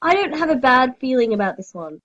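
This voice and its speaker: A woman's voice: sits high, sing-song, fairly quick, a bit bright. A woman playing a frank, supportive young friend in a comedic audio play, casual in delivery, slightly muffled sound.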